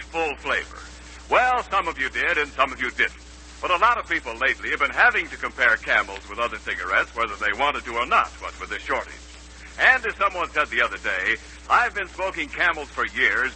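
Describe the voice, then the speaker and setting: An American man reading an emphatic, measured advertising pitch on a hummy 1940s radio recording. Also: sharp tone, a little hiss or hum, rough recording. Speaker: radio commercial announcer.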